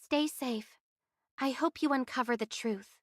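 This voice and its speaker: A voice actress playing an earnest young heroine in an anime game, speaking in a direct, inquisitive way. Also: female voice, sits high, a little slow, no echo, studio quality. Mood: sincere. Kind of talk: scripted anime game voice acting.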